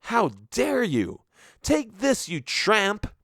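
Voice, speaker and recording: A man reading a story aloud, voicing a defiant, hot-tempered teenage girl in a raised, shrill voice. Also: big pitch swings, unhurried, dry recording, studio quality. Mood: furious.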